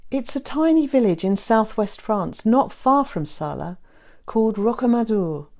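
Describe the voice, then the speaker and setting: A woman's voice: a little high, lively intonation, a little slow, darkish tone, pitched above the performer's own voice. A woman voicing an affectionate, polite young bride-to-be in a romance audiobook. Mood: eager, happy. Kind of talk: romance audiobook character reading.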